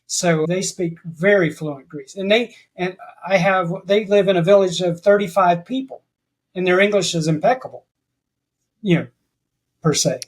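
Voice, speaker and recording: A man with a fairly high voice chats as a guest on a podcast. He rambles and restarts his sentences as his thoughts catch up. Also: normal speed, low-quality recording. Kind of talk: casual interview chat.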